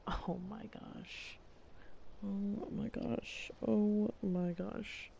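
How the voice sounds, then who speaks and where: A woman doing casual live gameplay commentary, reacting in an expressive, playful way. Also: medium pitch, extremely slow, vocal fry, slight background noise. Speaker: streamer playing and reacting to a game.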